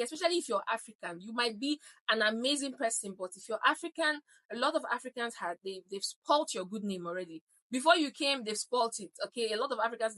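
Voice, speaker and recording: A woman's voice: a little high, fairly bright tone, poor audio. A woman in her thirties from Nigeria with a Nigerian accent, giving emphatic advice in a vlog and often checking in with "okay?". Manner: emphatic, repeats "okay?" to drive points home. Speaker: vlogger.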